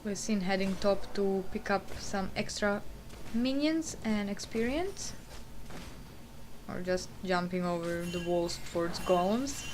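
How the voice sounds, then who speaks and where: Woman, Croatian accent, game co-commentator, chatty analysis, mid-pitched voice, faint hiss. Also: unhurried. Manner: chatty, explanatory analysis with fillers. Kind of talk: color commentary on a video game.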